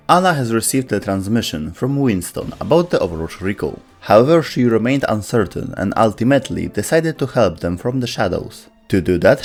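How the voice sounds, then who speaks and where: A man reading scripted narration as the narrator of a video game lore explainer. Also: lowish voice.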